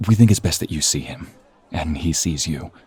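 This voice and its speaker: A man playing a polite, hesitant doctor's assistant in an audio roleplay, soft-toned and husky, speaking deferentially. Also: very deep voice, animated, normal speed, heavily acted. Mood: careful.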